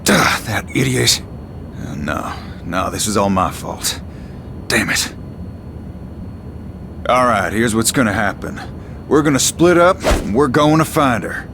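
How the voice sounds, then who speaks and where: Suave, animated crew leader. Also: male voice, mid-range voice, a little slow, vocal fry, long pauses, background noise. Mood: self-blaming, resolute. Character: suave, protective male leader of a young female crew. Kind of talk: video game voice acting.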